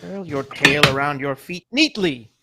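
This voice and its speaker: A man's voice: a little high, animated, unhurried, very noisy. A man voicing a fussy, motherly mouse mother in a live radio play reading, bossy and coaxing. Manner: bossy and coaxing, old-fashioned.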